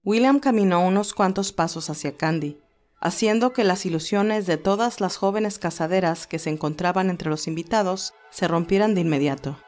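A woman's voice: lowish voice, moderate pace, darkish tone. A woman reading scripted story narration in Spanish, telling a tale. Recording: music behind the voice. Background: classical music.

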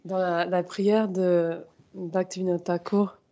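A woman asking a hesitant, halting question in a class. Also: on the low side, flat delivery, warm tone, dull audio.

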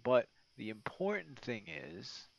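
A man chatting casually in a late-night ASMR style, rambling and halting in an informal way. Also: mid-range voice, lively intonation, creaky, a little hiss or hum. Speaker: ASMR roleplay creator. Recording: dull, muffled lo-fi audio.

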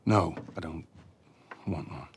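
An American actor playing a gruff, middle-aged smuggler in a video game, terse and distrustful in a naturalistic style. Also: male voice, rumbling low, slow, slight background noise. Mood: irritated.

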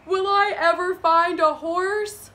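Woman putting on an eager, high-pitched storybook cowboy. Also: very animated, bright, forceful tone, full character voice. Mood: downhearted. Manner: exclamatory, wide-eyed, drawn-out words. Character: eager, excitable young cowboy hopefully seeking a horse. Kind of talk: children's storybook character voice.

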